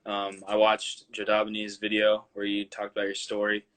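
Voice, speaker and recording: A man's voice: fairly low pitch, monotone, normal speed, fairly bright tone, rough recording. A male host asking interview questions over a video call, hesitant with many "um"s and "like"s. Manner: hesitant, many ums and likes.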